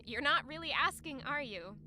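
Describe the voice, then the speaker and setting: A high-pitched young female voice in an audio drama , played by a woman. She is weary and bitter, with a defiant edge that turns indignant under pressure. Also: lively intonation, normal speed, background noise. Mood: bitter, disgusted. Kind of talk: audio drama acting with first-person narration.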